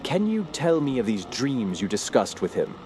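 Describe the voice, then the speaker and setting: An actor playing an earnest, troubled scholar in a radio drama, speaking in a formal, measured, literary way. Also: male voice, medium pitch, very animated, background noise, natural voice. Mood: curious, probing. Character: earnest, troubled male scholar investigating a sinister cult. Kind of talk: radio drama narration and dialogue. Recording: music clearly behind the voice. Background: music.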